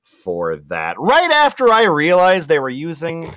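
Animated man reading aloud, dry close sound. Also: a little high, measured pace, dry recording. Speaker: reader and host on a comedy podcast. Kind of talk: comedic read-aloud of forum posts with casual asides.

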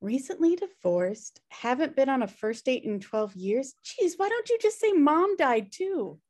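A woman acts out a sardonic, pushy sister in her thirties. She takes her time, in a slightly high voice with dry, teasing sarcasm, reading a sitcom script from home. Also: big pitch swings, unhurried, darkish tone. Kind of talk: comedy script table read. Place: home room on a video call.

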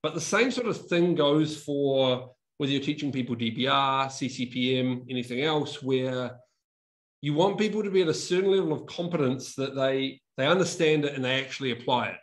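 A young man with a New Zealand accent that has picked up Australian tones presents over a webcam from an indoor room. He talks in a casual, chatty way, often restarting his words and laughing at himself. His voice sits at an ordinary, middle pitch. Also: animated, normal speed. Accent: New Zealand, softened by years in Australia. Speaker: conference speaker. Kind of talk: online conference presentation. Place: room with a whiteboard and brick wall, indoors. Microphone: video call webcam.

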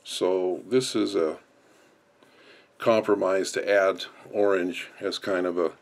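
A man's voice: low voice, fairly even intonation, takes it slowly, gravelly. A man instructing in an unscripted, step-by-step way as he explains hands-on work. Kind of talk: hands-on tutorial narration.

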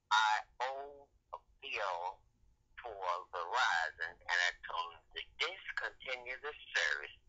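An older man with a very sharp, forceful voice argues on a lo-fi phone line. His pitch moves in lively swings, and he is blunt, irritated and insistent. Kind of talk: phone conversation. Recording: dull lo-fi telephone line.

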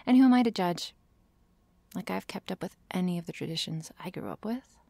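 Casting: woman as sardonic tsundere vampire girl for ASMR roleplay, low-pitched and soft, very close and dry. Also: expressive, a little fast, gravelly, no echo. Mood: teasing, sarcastic. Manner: sardonic, hesitant, feigning indifference. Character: tsundere vampire girl, prickly, teasing, secretly lonely.

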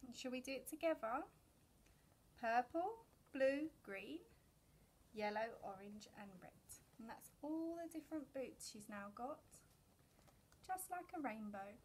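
A young woman with a high voice tells a picture-book story to little children. She goes very slowly, in a sing-song way, addressing her young listeners directly. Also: animated, a little mellow, slight background noise. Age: young adult. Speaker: children's storyteller at a family centre. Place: indoors, decorated story corner.

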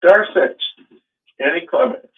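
A man's voice: high-pitched, expressive, unhurried. A man hosting a call-in show, talking in a rambling, questioning way with many fillers. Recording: phone-like line.

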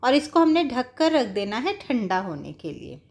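High-pitched woman teaching a recipe. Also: big pitch swings, moderate pace, creaky. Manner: explanatory, addressing viewers directly. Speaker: home cook giving a recipe tutorial. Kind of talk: casual step-by-step cooking instruction.

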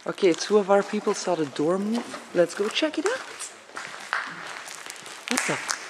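A young man exploring an abandoned building at night, excitable and swearing, often dropping to a whisper. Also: high voice, moderate pace, crowd noise. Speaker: paranormal explorer. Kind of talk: casual night exploration chat. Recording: noisy handheld camera audio.

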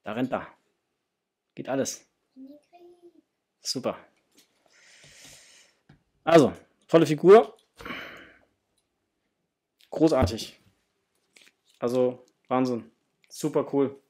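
Husky man, casual and enthusiastic. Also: mid-range voice, lively intonation, extremely slow, pauses often, darkish tone. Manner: enthusiastic, exclamatory, muttering to himself while handling things. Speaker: host of a toy unboxing channel. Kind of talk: casual unboxing chat to viewers. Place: small indoor hobby room.

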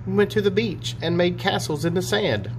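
A man's voice: high voice, moderate pace. A man in his forties talking and reading a storybook to young children in simple, child-directed phrasing, like a teacher. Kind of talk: read-aloud storybook and chat for children. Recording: clear background hum and noise.

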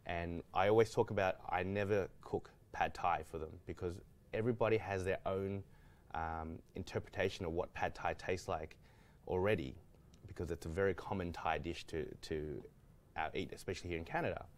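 A man's voice: low-pitched, normal speed. A man, a guest chef telling his story in a studio kitchen, with lots of fillers and restarts. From Australia. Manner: rambling, many restarts and fillers. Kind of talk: casual studio interview.

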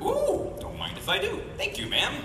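High-voiced, showy TV presenter. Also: male voice, huge pitch swings, moderate pace, exaggerated voice. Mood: eager. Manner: announcing, theatrical. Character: showy, jolly male TV presenter. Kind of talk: live cartoon table read. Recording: echoey convention hall, distant, loud crowd noise.

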